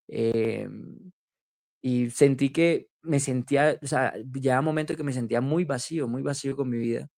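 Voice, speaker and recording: A man's voice: medium pitch, gravelly, darkish tone, dry recording, studio quality. A man sharing his personal faith testimony in an interview, hesitant and full of fillers and restarts.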